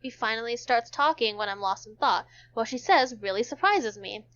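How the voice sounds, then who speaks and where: A woman's voice: on the high side, lively intonation, moderate pace, a bit bright, lo-fi sound. A woman hosting a visual novel stream, reading the narration aloud in an amateur, casual way.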